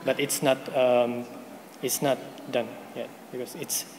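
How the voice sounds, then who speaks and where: A man's voice: medium pitch, flat delivery, unhurried. A man giving a technical conference presentation and answering questions, hesitant, with many fillers and restarts. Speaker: presenting researcher. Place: conference hall. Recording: hall reverb, faint noise.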